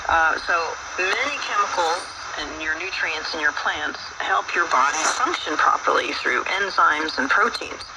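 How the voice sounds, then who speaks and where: Chatty radio herb-show host. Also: male voice, fairly high pitch, measured pace, few pauses, far from the mic. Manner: relaxed explanatory, many fillers. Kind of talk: radio talk show monologue. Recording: faraway voice with loud background noise.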